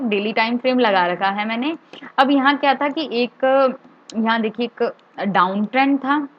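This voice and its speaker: A woman's voice: on the high side, muffled recording. A woman with an Indian accent giving a step-by-step tutorial as an instructor, repeating key points for clarity and mixing in English terms. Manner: mixes English terms and phrases into speech. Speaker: trading instructor.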